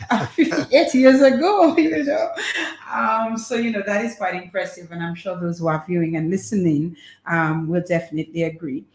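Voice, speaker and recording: A woman hosts a relaxed conversational radio interview in a roomy, echoing space. Her voice is slightly low for a woman. She encourages her guest and repeats key words back. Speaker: radio host.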